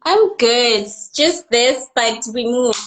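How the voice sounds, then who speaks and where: A woman with a high voice joins the chat from her phone. She answers in short, relaxed and friendly replies. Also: takes it slowly. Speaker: guest, makeup artist and flight attendant. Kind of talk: casual live-stream chat. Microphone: phone on a video call.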